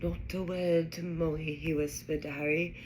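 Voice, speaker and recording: A woman putting on a British accent to read a children's storybook aloud in a measured, expressive way. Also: deep voice, background noise, lightly acted. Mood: storytelling. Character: British storybook narrator reading a wizard school tale. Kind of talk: scripted narration.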